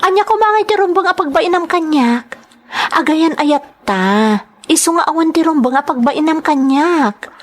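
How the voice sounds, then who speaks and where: An actress playing an outspoken, lovestruck woman in an audio soap drama, with emphatic scolding and drawn-out phrases. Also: high-pitched, expressive. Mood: indignant, pleading. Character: outspoken, lovestruck woman pleading with her man.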